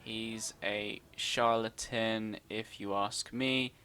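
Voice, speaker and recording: Very low-voiced, playful woman gamer. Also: steady tone, very slow, fairly bright tone, a little hiss or hum. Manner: teasing, jokey, prone to giggling. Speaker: gamer reading dialogue choices and commenting. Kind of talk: playful let's-play commentary.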